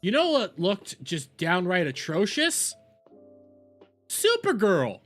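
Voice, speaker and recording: A man's voice: sits high, wildly swooping pitch, slow, a bit bright, faint music behind. A man hosting a reaction livestream, giving opinionated commentary in an emphatic, ranting way and repeating phrases for stress.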